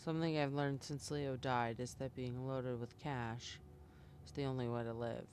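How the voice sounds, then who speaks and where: A man's voice: medium pitch, flat delivery, normal speed, a little mellow, a little hiss or hum. A man streaming a game live, giving informal commentary and reading aloud as he plays.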